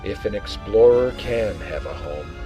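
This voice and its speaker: An actor playing an acting starship commander, measured and questioning, in a fan audio drama. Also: male voice, on the low side, lively intonation. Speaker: acting captain. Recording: music score underneath.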